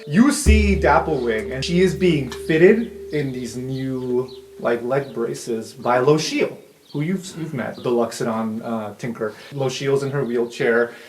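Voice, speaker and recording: A man's voice: mid-range voice, measured pace, slight background noise. A man working as game master, casually narrating an improvised tabletop roleplay scene. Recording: roomy echo, faint music behind.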